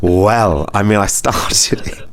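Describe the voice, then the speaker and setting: A man's voice: lowish voice, takes it slowly, slight background noise. A British man hosting a podcast in casual conversation, telling anecdotes in a chatty, self-deprecating way. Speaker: podcast host. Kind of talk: casual chat.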